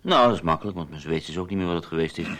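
Sarcastic Dutch husband with lively intonation. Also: male voice, deep voice, rapid-fire. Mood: matter-of-fact. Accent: Netherlands Dutch. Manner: sarcastic, patronizing. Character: bossy, sarcastic husband who needles his wife. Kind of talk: Dutch radio play acting.

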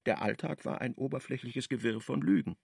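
A male narrator tells a radio play in a measured, ironic way. His voice has a gravelly grain and sits mid-range. The studio sound is dry. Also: mid-range voice, a little mellow, dry recording.

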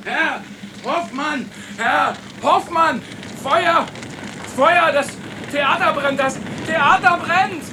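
High-pitched panicked alarm raiser. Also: male voice, very slow, heavily acted. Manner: crying out, urgent. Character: panicked person raising a fire alarm. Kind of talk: German radio drama acting. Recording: distant voice in loud ambient noise.

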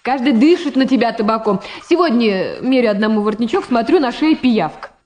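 An actress playing a prosecutor's young adult daughter in a Soviet radio drama, wry and teasing, gently reproachful. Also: female voice, a little high, expressive, fairly quick, natural voice. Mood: playful, teasing.

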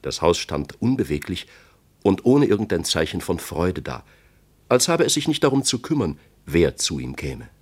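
An actor as an expressive reader of a classic novel in a radio play, reading dramatically. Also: male voice, sits low, normal speed, husky, full character voice. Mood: dramatic.

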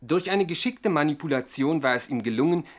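A man's voice: fairly high pitch, lively intonation, fairly quick. A man narrating a scripted satirical radio play in a dry, mock-formal manner. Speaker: second narrator.

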